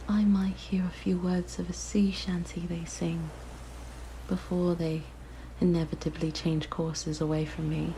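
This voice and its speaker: Siren, played by a woman: lowish female whisper, breathy, slow, gently menacing yet curious, ASMR roleplay, faint noise behind. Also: low-quality recording. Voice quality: soft, breathy, largely whispered. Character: weak-voiced yandere siren, lonely, curious, hungry predator.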